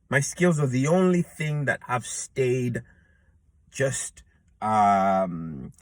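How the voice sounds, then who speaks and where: A man's voice: mid-range voice, no echo. A man in his thirties talking to himself outdoors in an earnest, rambling way, with many restarts and fillers. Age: 30s. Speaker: jiu-jitsu purple belt vlogger. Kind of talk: reflective casual monologue. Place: outdoors in a public place.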